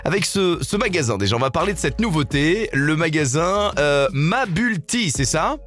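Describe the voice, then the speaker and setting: A man hosting a local radio show, interviewing a guest in a chatty, jovial manner with quick affirmations. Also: fairly high pitch, lively intonation, fairly bright tone, faint music behind. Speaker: radio host.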